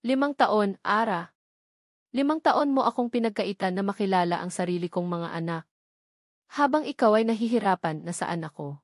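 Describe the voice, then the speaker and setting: An intense, wounded police captain played by a woman reading the story from a romantic audio drama. He speaks gravely, pleading and accusing his former lover. The sound is dry and close. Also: mid-range voice, lively intonation, moderate pace, dry recording, close to an everyday voice. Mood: hurt, angry.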